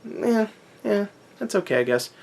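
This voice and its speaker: A man's voice: high-pitched, sing-song, measured pace, gravelly. A man giving a casual, unscripted movie rant as an online host, conversational and opinionated, with emphatic repetition. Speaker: YouTube movie reviewer and host.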